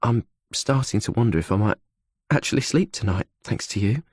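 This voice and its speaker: Man acting vulnerable female student, young, grateful, husky raised voice, dry audiobook sound. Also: lowish voice, lively intonation, moderate pace, darkish tone, no room sound, pitched above the performer's own voice. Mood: grateful, tentative.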